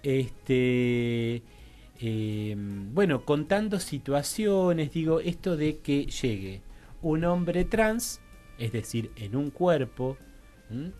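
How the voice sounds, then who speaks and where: A man with a Rioplatense Argentine accent talking in a radio panel as a guest professional, halting and reflective, often checking he is followed. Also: medium pitch, lively intonation, warm tone, faint music behind. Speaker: guest professional working in health and diversity.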